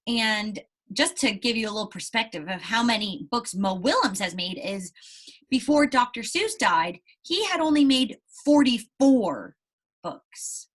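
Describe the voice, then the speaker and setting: Female primary teacher, higher-pitched, explaining things to small children and checking they follow. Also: expressive, a little slow, a bit bright. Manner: explanatory, often checks with "right?". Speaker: first-grade teacher. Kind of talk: read-aloud lesson talk to young pupils.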